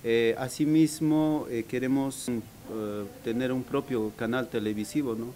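A man from Peru, a workshop participant, speaking haltingly with many fillers in an interview. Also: medium pitch, steady tone, mellow, slight background noise. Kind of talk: hesitant interview talk.

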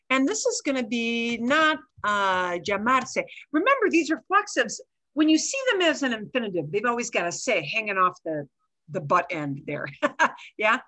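A woman's voice: medium pitch, pushed and bright. A woman in her sixties teaching a Spanish class over a video call on a headset mic, switching between Spanish and English and explaining patiently with small asides.

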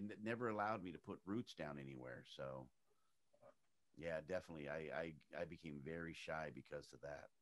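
A middle-aged man raised in Arizona, an actor talking casually as an interview guest and acting out the people he quotes with big pitch jumps. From United States, raised in Arizona.

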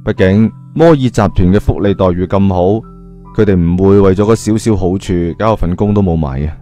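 A mellow, fairly low male voice narrates a story with a Cantonese accent. He is colloquial and dramatic, dropping chatty asides, with soft music underneath. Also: brisk.

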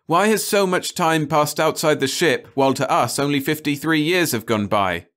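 A man voicing a calm, pragmatic male leader on a starship, who speaks firmly and persuasively. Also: mid-range voice, moderate pace, pitched above the performer's own voice, lightly acted. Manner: firm, persuasive.

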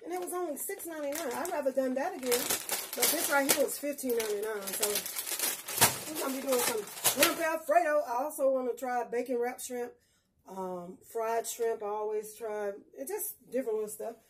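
A woman's voice: high-pitched, normal speed, very noisy. A middle-aged woman vlogging casually from a small home kitchen, talking bluntly and cussing freely as she chats to her viewers. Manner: chatty, blunt, cusses freely, addresses viewers as y'all. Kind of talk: casual grocery haul vlog. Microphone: phone camera a few feet away.

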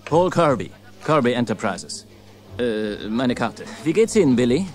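A man's voice: mid-range voice, big pitch swings, measured pace. An actor playing an eager, talkative middle-aged businessman, persuasive and a little hesitant, in film-style dialogue. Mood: eager, polite. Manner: hesitant fillers, ingratiating salesman talk. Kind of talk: German-dubbed film dialogue.

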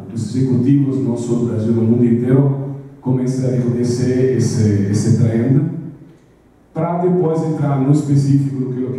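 A man's voice: mid-range voice, dark tone. A middle-aged man with an Italian accent giving a conference talk on stage, hesitant with many filler sounds. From Italy. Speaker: speaker at a business event. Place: large auditorium with a stage. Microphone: handheld mic, far camera. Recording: echoey hall from far off.

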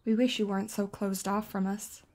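A woman's voice: mid-range voice, flat delivery, moderate pace, a little mellow, natural voice. A woman acting a playful, teasing teenage schoolgirl in an audio drama.

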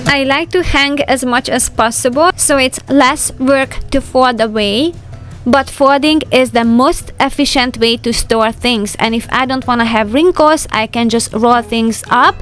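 A middle-aged woman narrating her household chores and cooking in a calm, explanatory voice-over, with slightly non-native phrasing. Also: high-pitched, measured pace, soft background music. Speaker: homemaking vlogger.

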